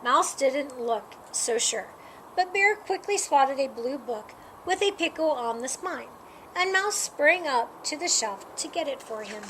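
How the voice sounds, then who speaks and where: A woman reading a children's story aloud as an expressive narrator. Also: high-pitched, a little slow, slight background noise, low-quality recording. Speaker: storybook reader.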